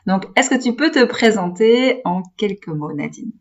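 A woman's voice: fairly high pitch, animated, moderate pace, lo-fi sound. A woman hosting a podcast interview, asking questions with many fillers and restarts. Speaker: podcast host.

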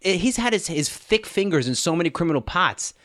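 A man hosting a casual, foul-mouthed podcast chat. His voice is mid-pitched and dry, with a joking, disbelieving edge. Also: lively intonation, brisk, fairly bright tone, no room sound. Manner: blunt, joking, incredulous. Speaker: podcast host. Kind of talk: casual profane interview chat.

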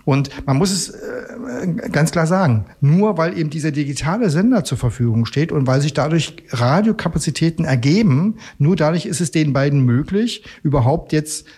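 A man explaining things conversationally as a radio guest, halting and often rephrasing. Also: fairly high pitch, expressive, darkish tone, breathy edge. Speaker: radio expert guest in a magazine segment.

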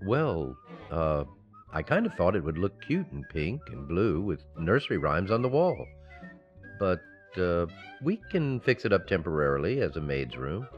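A man's voice: low voice, very animated, normal speed, dull audio, soft background music. A man playing a mild-mannered, distracted husband in an old-time radio sitcom, hesitant and absent-minded. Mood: sheepish.

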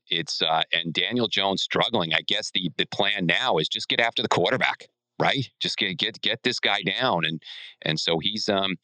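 A man hosting a casual sports discussion, halting and repeating himself as he prods with questions. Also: low-pitched, bright, forceful tone, no echo, low-quality recording. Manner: halting, repetitive, prodding questions. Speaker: sports podcast host.

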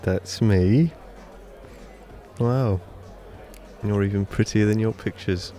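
A man's voice: lowish voice, slow, warm tone. An actor playing an awkward, nervous young Irish man on a date in an audio drama, self-deprecating and hesitant with his jokes. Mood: tentative. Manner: hesitant, joking. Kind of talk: audio drama acting, naturalistic dialogue.

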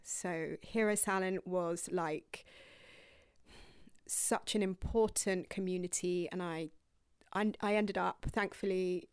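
A young woman yoga teacher telling her own story to viewers in a rambling, candid, casual way, dropping to breathy whispered asides now and then. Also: on the low side, fairly even intonation, slow pace, a little mellow, no echo, clean studio sound.

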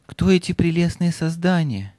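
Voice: mid-range voice, expressive, normal speed, dark tone, no room sound, close to an everyday voice. An actor playing a curious, earnest young boy in a children's audio play, with eager, simple phrasing.

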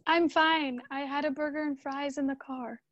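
A woman's voice: high-pitched, fairly even intonation, normal speed, fairly bright tone, no room sound. A woman acting a troubled, weary grown daughter in a radio drama, speaking hesitantly. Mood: exasperated. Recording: dull, lo-fi sound.

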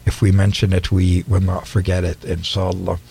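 A middle-aged man hosts a radio interview show from the studio. His voice is low and gravelly, with a dark, mellow tone and a slightly husky edge. He asks his questions in a friendly, unhurried way. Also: flat delivery.

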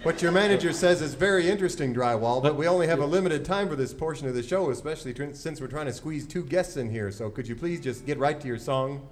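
A man's voice: on the high side, fast, few pauses, a little hiss or hum. A man hosting a live radio comedy show, playing the dry, mock-pompous straight man.

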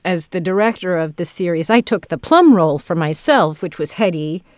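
A woman's voice: on the low side, animated, normal speed, a little mellow, dry recording, clean studio sound. A woman chatting casually as a podcast interviewer, feeling her way through phrases. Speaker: podcast interviewer, audio drama director and actor.

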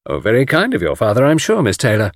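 A man voicing a glib, flippant gentleman adventurer and tour guide in an audiobook. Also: mid-range voice, huge pitch swings, dry recording, studio quality. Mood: modest, glib. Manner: offhand, glib, brisk. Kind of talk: audiobook character voice.

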